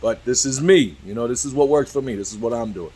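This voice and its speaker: A man in his early fifties talking candidly to camera as a vlogger, halting and repeating "you know" constantly, swearing freely. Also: medium pitch, darkish tone, slightly rough, poor audio. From Cambridge. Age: 50s. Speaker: YouTube vlogger. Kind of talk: rambling candid talk to camera.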